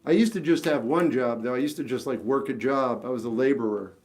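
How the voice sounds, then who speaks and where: A man's voice: mid-range voice, fairly quick, darkish tone. A man in his fifties chatting casually on a livestream, answering viewers with rambling, self-deprecating advice and lots of restarts. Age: 50s. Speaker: livestream host.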